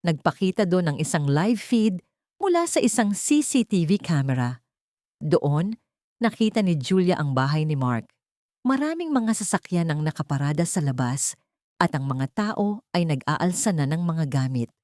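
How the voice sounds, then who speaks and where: A woman's voice: deep voice, very animated, normal speed, darkish tone, dry recording, clean studio sound. A woman reading a dramatic scripted story as an audiobook narrator.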